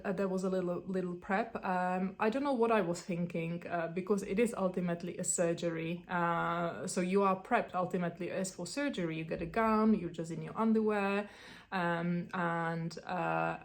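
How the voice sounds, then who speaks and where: Chatty Czech woman vlogging. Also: on the low side. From Czech Republic. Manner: chatty, rambling, many ums and restarts. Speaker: beauty vlogger. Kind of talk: casual talk to camera.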